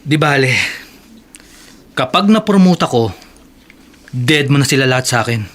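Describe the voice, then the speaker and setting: A man's voice: mid-range voice, lively intonation, moderate pace, a bit bright, lightly acted. Earnest young male employee and dutiful son, played by an actor, respectful delivery for radio drama. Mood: weary, earnest. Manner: polite, earnest. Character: earnest, deferential young male office worker and devoted son. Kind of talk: Tagalog radio drama acting.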